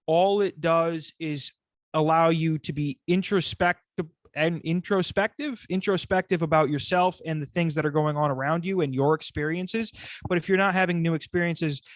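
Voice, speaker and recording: A man's voice: a little high, fairly even intonation, normal speed, dry recording, clean studio sound. A man co-hosting a podcast, ranting in casual chat with swearing and repeated phrases. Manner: rants with profanity, repeats himself. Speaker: podcast co-host.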